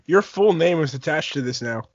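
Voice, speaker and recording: A man's voice: on the high side, animated, moderate pace, dry recording. A young man in casual banter with friends, blunt and complaining. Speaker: co-host, heckling listener. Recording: dull lo-fi voice chat audio.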